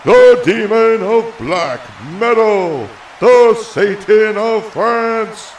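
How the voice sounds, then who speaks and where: A grandiose male ring announcer, acted out, high and very slow, with showy drawn-out calls. He sounds set back from the mic amid clear noise. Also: distant mic, exaggerated voice, rough recording. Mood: rousing.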